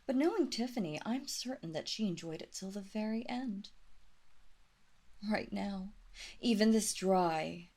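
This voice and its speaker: A woman playing a haughty, seductive vampire lord in a roleplay audio drama, commanding and deliberate, drawing out words and slipping into breathy whispers. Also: medium pitch, darkish tone. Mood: wistful, admiring. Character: haughty, seductive female vampire lord, mistress of a great house.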